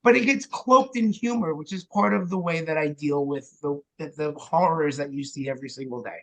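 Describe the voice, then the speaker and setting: A man's voice: a little high, fairly quick, poor audio. Candid man. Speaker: interview guest, theatre director and playwright. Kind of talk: casual conversational interview.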